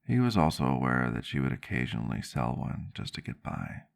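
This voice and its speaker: A man plays a reflective male vampire doctor in an ASMR roleplay. His voice sits very low, with a heavy fry running through it. He tells his story gently, in measured and slightly antique phrasing. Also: sing-song, heavily acted. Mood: wistful. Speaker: roleplay character telling a story.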